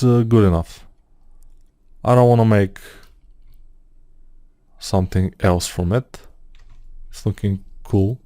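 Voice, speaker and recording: A man's voice: on the low side, dark tone, no echo, studio quality. A man with a Bulgarian accent, a non-native English speaker, talking through a 3D modeling tutorial as he works, thinking aloud with hesitant fillers. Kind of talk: screen-recorded software tutorial.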